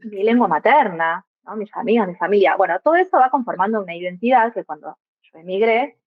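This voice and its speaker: A young woman from Argentina with a clear Argentine accent talks through her ideas in a reflective way, often checking in with small tag questions. Her voice comes over a tinny, phone-like line. The sound is dry, with almost no room around it. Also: medium pitch, animated, a little fast, dry recording. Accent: Argentine Spanish. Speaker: guest, migrant mother. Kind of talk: reflective podcast conversation.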